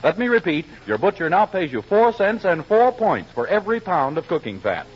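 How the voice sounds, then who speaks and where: A man's voice: sits high, big pitch swings, a little fast. A man delivering a scripted advertisement as a 1940s radio announcer. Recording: muffled old radio broadcast, audience noise.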